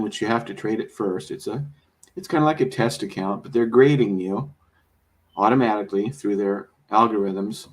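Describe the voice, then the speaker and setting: A man talking casually about trading, rambling and explaining with many fillers and restarts, a bit hoarse as he is losing his voice. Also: on the low side. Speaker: trader. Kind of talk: casual conversation.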